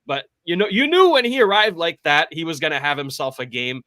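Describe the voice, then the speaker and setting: Bright, higher-voiced man co-hosting a podcast. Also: animated, brisk, no echo. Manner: short interjections and presenter lead-ins. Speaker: sports podcast co-host. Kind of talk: casual hockey chat.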